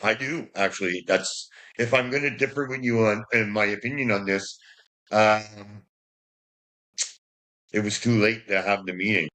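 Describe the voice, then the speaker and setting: A man's voice: on the low side, normal speed, pushed and bright, low-quality recording. A man co-hosting a casual recovery podcast, blunt and swearing freely, pushing back as devil's advocate. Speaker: podcast co-host.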